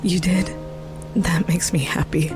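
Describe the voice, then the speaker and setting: A young witch played by a woman speaks slowly in a fairly low, soft voice over clear background music. She is earnest and tender-hearted, breathy and hesitant, in the style of a fantasy audio drama. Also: steady tone, close to an everyday voice, poor audio. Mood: tender, wistful. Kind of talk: fantasy audio story acting.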